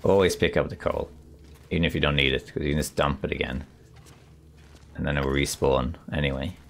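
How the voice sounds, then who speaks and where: A man with a raspy voice, still getting over a cold, calmly commenting as he plays a survival game and thinks aloud. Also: rumbling low, brisk. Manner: relaxed, thinking aloud while exploring. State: recovering from a cold. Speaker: gameplay commentator. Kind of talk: calm let's play commentary.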